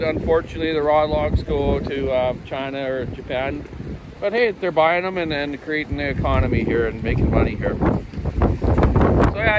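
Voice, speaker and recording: A man in his fifties, a chainsaw shop owner, rambling in a folksy way with many fillers while he vlogs outdoors on his phone. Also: fairly high pitch. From Canada. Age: 50s. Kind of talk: casual walking vlog. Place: windy seaside park outdoors. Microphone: phone camera at arm's length. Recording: loud wind noise on the phone mic. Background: gusting wind.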